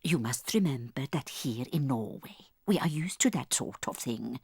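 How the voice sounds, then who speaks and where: An actress playing a doting, gravely dramatic old Norwegian grandmother in an audiobook drama, telling things with theatrical emphasis. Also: a bass voice, animated, a little mellow, no room sound, clean studio sound, heavily acted. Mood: earnest, insistent. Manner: theatrical, emphatic, slow and deliberate storytelling.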